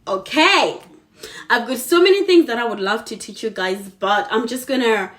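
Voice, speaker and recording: A woman's voice: on the high side, animated, moderate pace. Zimbabwean woman chatting casually, emphatic. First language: Shona. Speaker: vlogger. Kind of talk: casual chat to camera.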